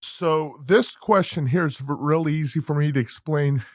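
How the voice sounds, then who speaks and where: Earnest man with halting, restarting speech. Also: on the high side, dry recording, clean studio sound. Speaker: podcast co-host. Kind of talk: casual podcast chat.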